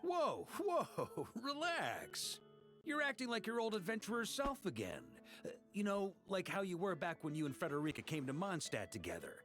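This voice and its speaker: A man's voice: high voice, huge pitch swings, moderate pace, a bit bright, heavily acted. A man voicing a jovial, teasing grandmaster of knights in a video game, breezy and casual. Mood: amused, teasing. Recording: game music behind the voice.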